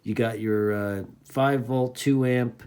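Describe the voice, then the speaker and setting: A man's voice: mid-range voice, fairly even intonation, slow pace, darkish tone, smooth. A man giving a casual, enthusiastic hands-on product review in informal hobbyist slang.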